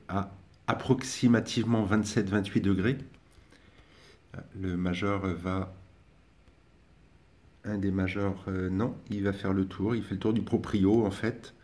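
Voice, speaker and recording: Low-voiced man, hesitant casual commentary. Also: a little mellow. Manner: hesitant, many "euh" fillers and restarts. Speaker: ant-keeping hobbyist vlogger. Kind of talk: casual spoken commentary over filming.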